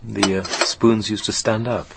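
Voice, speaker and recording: A man playing a formal, careful psychotherapist who probes with measured pauses in a naturalistic radio drama. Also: on the low side, level tone, creaky, very noisy. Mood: bemused, polite. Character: measured, probing American male psychotherapist. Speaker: actor in a radio play.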